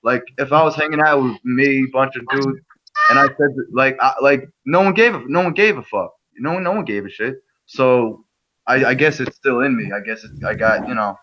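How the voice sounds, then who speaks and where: A man's voice: mid-range voice, moderate pace, sharp tone, dull audio. A man from New York chatting casually on a group livestream call, profane and rambling with lots of restarts. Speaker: livestream guest.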